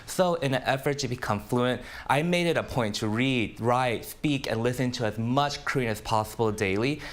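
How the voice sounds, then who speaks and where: A man's voice: mid-range voice, moderate pace. A man, a panelist speaking earnestly and encouragingly in a studio. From United States.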